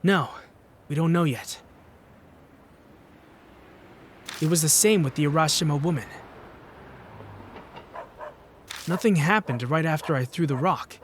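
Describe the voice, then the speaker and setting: A man's voice: fairly high pitch, a bit bright, a little hiss or hum, close to an everyday voice. A man playing a guarded, earnest young man investigating hauntings, narrating and speaking in a visual novel dub. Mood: tense, uneasy. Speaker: protagonist and narrator in a fan dub. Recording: music under the voice.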